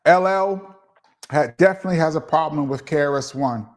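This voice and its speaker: A middle-aged man from Brooklyn with a New York accent, his voice sitting a bit high for a man. He talks in a laid-back, chatty way, with plenty of ums, as he reads out viewer questions and answers them on a live stream. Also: moderate pace. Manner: laid-back, chatty, laughs and says um often. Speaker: host of a live chat show. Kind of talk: casual live-stream Q&A, reading viewer comments.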